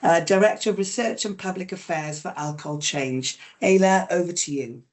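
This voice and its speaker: A woman's voice: lowish voice, normal speed, a little mellow. A woman hosting a webinar, reading out a scripted introduction. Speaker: webinar host.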